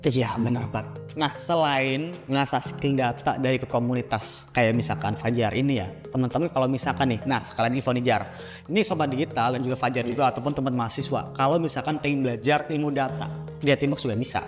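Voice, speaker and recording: A man's voice: medium pitch, fairly even intonation, fairly quick. A man with an Indonesian accent hosting a podcast, interviewing casually in chatty, colloquial speech with frequent tag questions. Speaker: podcast host. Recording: very reverberant room, clear music underneath. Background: music.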